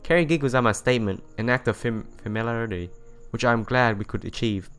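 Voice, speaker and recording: A man's voice: medium pitch, quick pace, fairly bright tone. A man reading a visual novel aloud, with casual asides and some stumbles over words that he goes back over. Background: music playing behind the voice.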